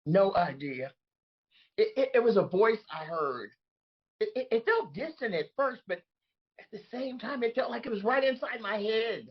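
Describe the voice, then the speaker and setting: A woman playing an aggrieved, bewildered disgraced crony with a husky voice in a comedic table read. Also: on the high side, lively intonation, normal speed, muffled recording. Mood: haunted. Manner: indignant, emphatic, dramatic. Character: Rudy, aggrieved, bewildered disgraced man trapped in woman's body.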